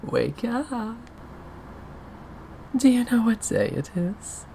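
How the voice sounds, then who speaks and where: A man's voice: very high voice, very animated, takes it slowly, gravelly, warm tone, slight background noise, exaggerated voice. A man playing a possessive, mocking yandere captor in an ASMR roleplay, with a drawling, theatrical, condescending manner. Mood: mocking, smug. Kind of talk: scripted ASMR roleplay audio drama.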